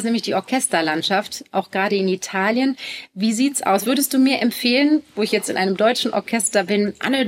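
A woman who plays in an orchestra, interviewing a guest in a lively, chatty way. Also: medium pitch. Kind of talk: casual interview questions.

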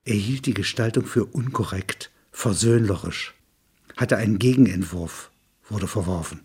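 A man's voice: fairly low pitch, moderate pace, a little mellow, husky. A man reading scripted literary narration, including quoted dialogue.

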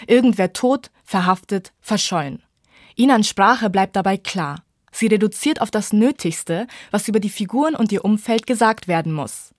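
A woman's voice: mid-range voice, darkish tone, no echo, clean studio sound. A woman reporter reading a scripted radio book review feature.

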